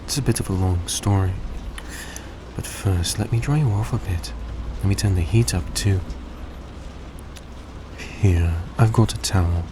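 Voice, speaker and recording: A man playing a suave, tender centuries-old vampire in a roleplay audio drama, speaking in a soft, coaxing, genteel way to the listener. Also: low-pitched, a little slow, long pauses. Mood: caring, playful. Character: suave, gracious centuries-old male vampire, young-looking, tender and grateful. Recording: steady background noise, vehicle-like ambience.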